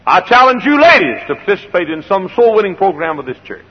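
Older preacher guy, froggy raspy voice, hollers then goes quiet, dull old church tape. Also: high-pitched, very animated, quick pace, in a large hall. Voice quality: gravelly, froggy voice. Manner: swings from hollering exhortation to quiet storytelling, repeats phrases for emphasis. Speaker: pastor. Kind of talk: sermon. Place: large church sanctuary.

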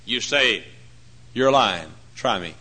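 A man in his forties preaching a folksy, humorous sermon, swinging between hushed asides and loud emphatic points. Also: lowish voice, lively intonation, takes it slowly, a little hiss or hum. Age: 40s. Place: church.

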